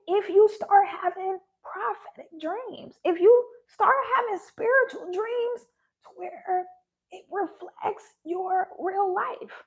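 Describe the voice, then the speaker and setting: Hoarse woman giving spiritual advice. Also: very high-pitched, rough recording. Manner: earnest, laughs often, repeats phrases. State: losing her voice. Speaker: spiritual vlogger. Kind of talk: casual spiritual livestream talk.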